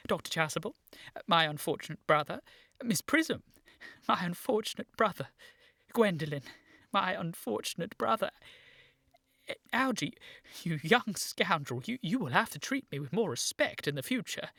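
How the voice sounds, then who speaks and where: Earnest, low-voiced young gentleman. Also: lively intonation, normal speed, vocal fry, no echo, studio quality, full character voice. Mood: elated. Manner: formal, urgent, stammering when agitated. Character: earnest, excitable young gentleman seeking his origins. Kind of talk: radio play acting, period comedy.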